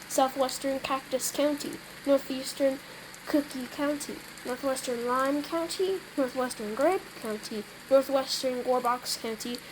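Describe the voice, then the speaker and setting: A woman's voice: sits high, noisy background. A woman role-playing through a game, moving between casual remarks and improvised announcements. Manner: stumbles over read-out wording, often clears throat. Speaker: gamer playing out an emergency alert scenario. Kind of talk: casual gameplay role-play with improvised mock weather bulletins.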